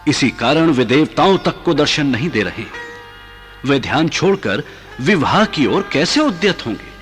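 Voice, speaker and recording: A man's voice: fairly high pitch, big pitch swings, measured pace, fairly bright tone, faint music behind. An actor playing a reverent, anxious mountain king and father in a Hindi mythological radio play, formal and deferential. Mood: worried, doubting.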